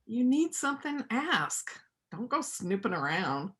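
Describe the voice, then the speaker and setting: A woman's voice: on the high side, very animated, unhurried, fairly bright tone. A woman acting a lonely, plainspoken veterinarian and painter in a church reader's theater play. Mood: candid.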